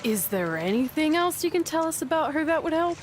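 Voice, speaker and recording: An actress playing a plucky, teasing young wrestler sidekick in a video game, casual and encouraging. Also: female voice, high voice, very animated, brisk, slight background noise. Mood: determined.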